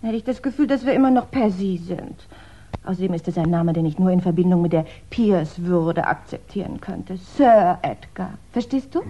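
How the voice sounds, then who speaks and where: A woman's voice: lowish voice, normal speed, mellow, muffled recording, lightly acted. An actress playing a composed, playfully mocking woman in a 1960s German radio play. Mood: teasing. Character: teasing, composed woman, crime writer's companion.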